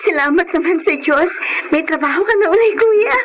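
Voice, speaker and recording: An actress playing an excited, thankful young sister in a Filipino radio drama. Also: female voice, extremely high pitch, brisk, a little hiss or hum. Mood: overjoyed.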